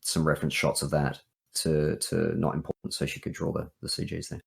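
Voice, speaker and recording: Aussie guy, super deep croaky husky voice, casual hesitant game dev chat. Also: level tone, brisk. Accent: Australian. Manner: hesitant, thinking aloud. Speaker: visual novel writer and developer.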